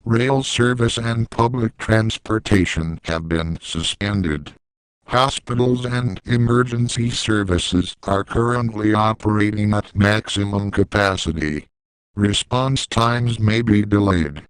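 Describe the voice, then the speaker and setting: A man reading a scripted emergency alert as an announcer, formal, detached and measured. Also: lowish voice, smooth, dry recording, poor audio.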